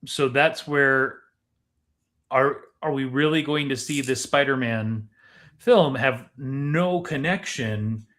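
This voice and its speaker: A man co-hosting a podcast in casual, analytical discussion, deliberate and questioning in how he talks. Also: mid-range voice, a bit bright. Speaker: podcast co-host.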